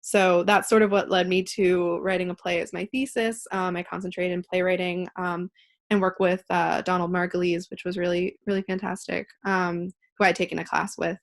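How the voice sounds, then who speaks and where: A woman's voice: fairly low pitch, flat delivery, normal speed. A woman in her twenties with an American accent, answering interview questions conversationally as a playwright. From Pittsburgh, United States. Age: 20s.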